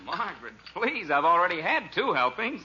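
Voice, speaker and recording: A man's voice: high-pitched, very animated, moderate pace, sharp tone, slight background noise, full character voice. A man playing a meek, agreeable, henpecked husband with a hesitant, deferential manner in a radio comedy. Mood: meek, sheepish. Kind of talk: broad radio comedy acting. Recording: dull old radio recording.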